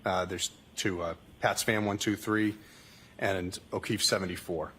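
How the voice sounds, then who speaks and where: Low-voiced man giving matter-of-fact testimony. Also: fairly even intonation, a little slow, a bit bright. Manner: matter-of-fact, repetitive formulaic delivery. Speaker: state trooper testifying as witness. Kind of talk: courtroom testimony, reading out a call log. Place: courtroom witness stand. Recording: noisy courtroom recording.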